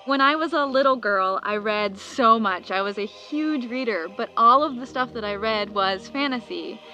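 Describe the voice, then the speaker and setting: A woman's voice: fairly high pitch, normal speed, sharp tone, poor audio. A woman author talking conversationally and earnestly about her own work, articulate in her phrasing. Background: background music.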